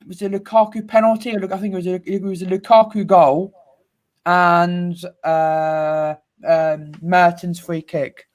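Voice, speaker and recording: A man's voice: sits high, little pitch movement, unhurried. A young man co-hosts a casual football talk. He lists players and lineups from memory, sure of his picks. His voice is on the light side for a man. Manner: rattles off names and lineups. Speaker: co-host.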